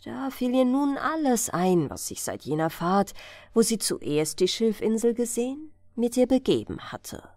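Slow, expressive woman reading a fairy tale. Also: on the high side, no room sound. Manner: measured, expressive storytelling. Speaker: audiobook narrator. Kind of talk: scripted bedtime fairy-tale narration.

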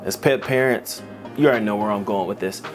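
A man's voice: on the low side, fast talker. A man hosting a comedy video to camera on a lapel mic in a studio, speaking in a playful, conversational way. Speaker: host. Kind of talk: scripted to-camera presenting. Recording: voice with light music underneath.

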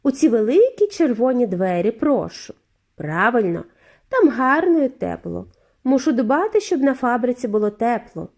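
A woman voicing a giddy, eccentric factory owner in a storybook reading, with a high, clear, flute-like voice and effusive, drawn-out greetings. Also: very animated, a little mellow. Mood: gushing. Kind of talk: audiobook character voice.

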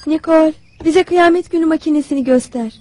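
An actress playing a commanding young squirrel princess in a cartoon, measured and decisive. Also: female voice, high voice, background noise, lightly acted. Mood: serious, focused. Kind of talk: cartoon dub acting.

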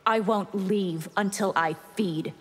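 A woman's voice: mid-range voice, slow, breathy edge. An actress playing a hungry, disdainful female vampire in a video game. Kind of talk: video game fight intro dialogue. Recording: long echoing reverb.

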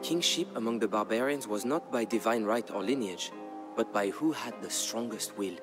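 A man narrating a historical tale as a dramatic scripted story, in a measured, grave storyteller's delivery. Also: lowish voice. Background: background music.

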